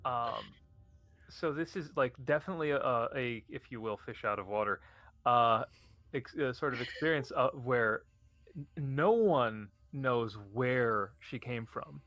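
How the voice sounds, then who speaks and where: A man playing a tabletop game, casually improvising backstory in a halting, tentative way. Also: medium pitch, a bit bright, no room sound.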